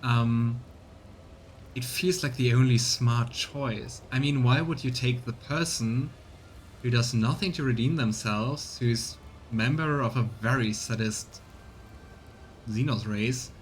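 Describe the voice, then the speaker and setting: Male let's play streamer, casual and musing, mid-pitched, with some game ambience and noise behind. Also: takes it slowly. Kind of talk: casual live game commentary, sometimes reading game text aloud.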